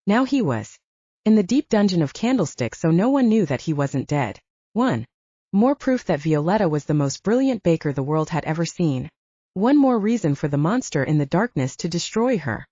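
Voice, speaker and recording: A woman's voice: lowish voice, big pitch swings, moderate pace, mellow, dry recording, lo-fi sound. A woman narrating first-person fiction as an audiobook, in a scripted storytelling style.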